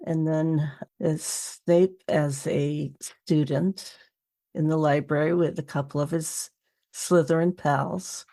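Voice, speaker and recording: Woman podcast host, low mellow voice, casual interview chat. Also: steady tone, takes it slowly.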